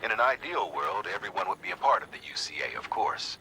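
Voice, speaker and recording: Formal game official, low-pitched, hard bright edge, measured explanatory briefing, authoritative. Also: male voice, big pitch swings, slight background noise, poor audio. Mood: matter-of-fact. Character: authoritative male organization official briefing a courier by radio. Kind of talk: expository video game briefing.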